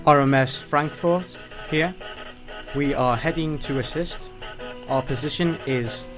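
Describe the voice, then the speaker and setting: Man acting businesslike ship's wireless operator, loud music. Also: on the high side, a little slow, lightly acted. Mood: formal, steady. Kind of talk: amateur radio play acting.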